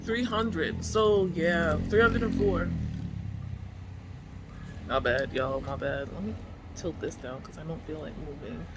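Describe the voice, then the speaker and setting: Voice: mid-range voice, huge pitch swings, slow, pauses often. A book vlogger of unclear sex chats casually in an informal, slangy way, musing aloud. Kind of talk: casual reading vlog chat. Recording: clearly noisy room ambience.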